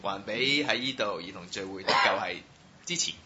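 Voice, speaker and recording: A man's voice: lowish voice, expressive, a loud crowd. Guy translating a church testimony line by line, hesitant, lots of fillers, echoey and muffled. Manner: halting, many filler sounds. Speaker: church interpreter. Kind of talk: live sentence-by-sentence translation. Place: church hall. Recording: roomy, muffled hall sound with faint noise.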